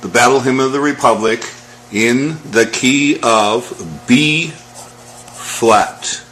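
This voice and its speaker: A man teaches music in a measured, instructional way. His voice sits at a middling pitch and he speaks extremely slowly, spelling out each step. There is faint noise behind him. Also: a bit bright, low-quality recording. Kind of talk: step-by-step music lesson, calling out scale numbers.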